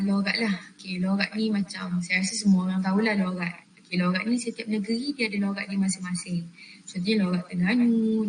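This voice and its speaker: A young woman with a Malaysian accent presents a lesson in a relaxed, chatty way, often turning to her listeners. She is heard from a distance in an echoey classroom, with faint room noise behind her. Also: medium pitch. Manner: chatty, addresses listeners directly with fillers. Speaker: trainee presenter.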